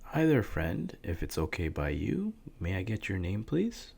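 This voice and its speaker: Man playing a low-voiced, earnest helpline counsellor. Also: huge pitch swings, gravelly, darkish tone, exaggerated voice. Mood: calm, welcoming. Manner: halting, earnest, repeatedly reassuring and apologetic. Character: empathetic male helpline counsellor, anxious, gentle, pleading. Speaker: helpline operator. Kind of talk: audio drama phone-call acting.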